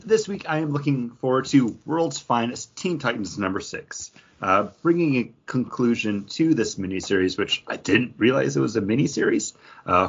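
Husky-voiced man in casual podcast chat. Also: lowish voice, highs cut off. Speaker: comics podcast co-host.

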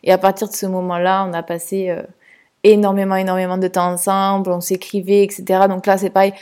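A woman's voice: medium pitch, level tone, fast talker, darkish tone, smooth. A woman from France talking casually in a personal podcast monologue, hesitant with many fillers and restarts. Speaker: podcast host.

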